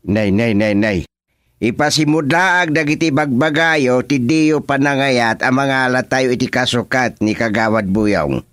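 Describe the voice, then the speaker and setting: A man's voice: medium pitch, measured pace, a bit bright, no room sound, studio quality, lightly acted. An actor playing a plain-spoken, easily exasperated village chairman in a rural comedy radio drama. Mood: businesslike.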